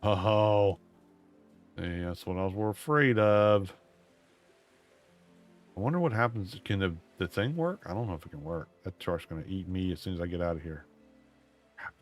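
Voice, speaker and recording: Low-voiced man muttering gameplay. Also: expressive, moderate pace, pauses often, faint music behind. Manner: muttering to himself, drawling, self-mocking. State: voice going hoarse. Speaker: gaming streamer. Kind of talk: casual gameplay commentary.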